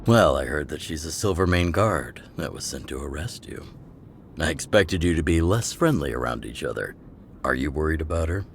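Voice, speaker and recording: A man playing a gruff but kindly older underground leader in a video game, with a gravelly voice and an unhurried, avuncular manner. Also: rumbling low, lively intonation, slight background noise, full character voice. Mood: calm, reassuring. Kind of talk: video game story dialogue. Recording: clear music under the voice.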